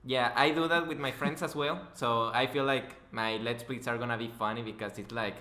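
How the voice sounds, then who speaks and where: A man's voice: mid-range voice, steady tone, normal speed, bright, forceful tone. A young man hosting a podcast as casual chat, joking and swearing informally. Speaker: podcast host, interviewer. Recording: echoey room.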